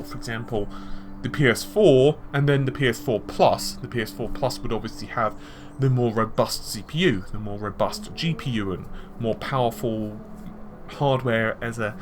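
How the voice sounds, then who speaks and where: Man, mid-pitched voice, conversational tech commentary, explanatory with hedges and asides, background music. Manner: explanatory, hedging with examples and asides. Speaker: gaming news commentator.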